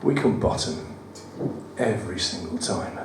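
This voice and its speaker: A man with a West Midlands accent and a croaky voice, reading his poems at a live event with wry, self-deprecating banter. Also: on the low side, animated, a little slow, distant mic. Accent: West Midlands English. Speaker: performance poet. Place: studio theatre at a live cabaret event. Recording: roomy live venue, mic from afar, audible room noise. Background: audience ambience.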